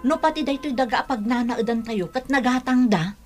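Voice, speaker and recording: A woman's voice: fairly high pitch, fairly quick, darkish tone, close to an everyday voice. Actress as a worried neighbour woman over music. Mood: resigned worry. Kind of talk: Ilocano radio drama acting.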